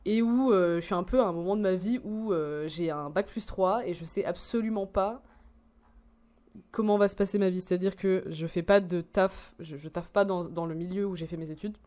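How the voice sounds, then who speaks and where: A woman's voice: on the low side, normal speed, dark tone. A woman in her twenties, rambling and swearing as she reacts to a video in casual slang. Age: 20s.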